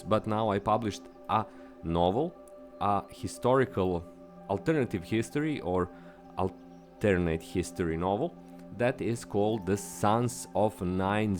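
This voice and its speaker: A lower-voiced man with a Serbian accent talks alone into the mic as a podcast host. He is upbeat and earnest, and he throws sudden high lifts onto the words he stresses. Soft music sits faintly under his voice. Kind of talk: solo podcast monologue.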